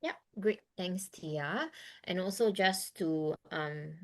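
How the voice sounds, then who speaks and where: A woman moderates an online webinar, reading out audience questions and adding short notes. Her voice sits on the low side, and she talks in a friendly, slightly hurried way with small fillers. She speaks into a video call mic.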